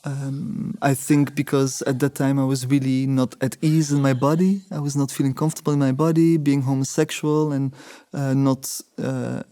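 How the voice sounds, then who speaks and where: A man in his forties whose first language is Dutch, talking through his past in a measured, reflective way. He pauses and restarts often as he searches for words. His voice is mellow and even, recorded dry and close. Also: medium pitch, no echo. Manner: thoughtful, many hesitations and restarts. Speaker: interviewee, choreographer. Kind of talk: reflective interview answers.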